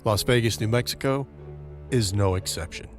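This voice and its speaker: A man with a fairly low voice narrates a crime-horror audio drama. He tells it deliberately, with measured pauses, over clearly audible music.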